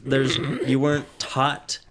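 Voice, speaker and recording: A man's voice: mid-range voice, monotone, takes it slowly, noisy background. A man co-hosting a podcast in casual comedic banter, joking and often laughing through his words. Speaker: podcast co-host.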